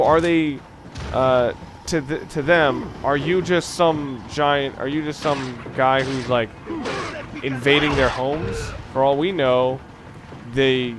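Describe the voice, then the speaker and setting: A man giving casual, unscripted video game commentary as a let's play host, rambling into musing, dryly humorous asides. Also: a little high. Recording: clear background noise under the voice.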